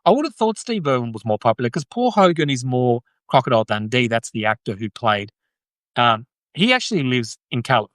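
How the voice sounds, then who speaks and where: An Australian man hosts a live video chat, answering viewers in a relaxed, rambling way full of "right?" and self-corrections. His voice sits at an ordinary middle pitch and comes across close and dry, with no room sound. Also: a little fast, no room sound. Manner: chatty, lots of "right?" tags and restarts. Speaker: host.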